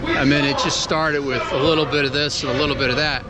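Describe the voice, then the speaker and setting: A man's voice: fairly high pitch, flat delivery, quick pace. A man demonstrating and vlogging outdoors on a city street, switching between chanting and casual talk. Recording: loud street noise and crowd, outdoor camera audio.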